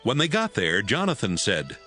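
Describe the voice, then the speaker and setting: A man's voice: fairly low pitch, huge pitch swings, normal speed, sharp tone, soft background music. A man narrating a dramatized scripture audiobook.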